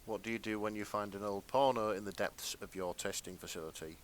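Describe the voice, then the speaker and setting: A man's voice: on the low side, fairly even intonation, a little fast, slight background noise. A British man co-hosting a podcast in casual comedic chat, with a wry, self-deprecating manner. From Britain. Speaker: podcast co-host.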